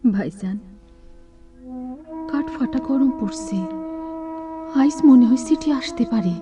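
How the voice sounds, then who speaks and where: A woman's voice: a little high, takes it slowly, music in the background. An actress playing a rustic village woman full of longing in a radio drama, with a rural eastern Bengali dialect and a pleading, lyrical manner. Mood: wistful, hopeful.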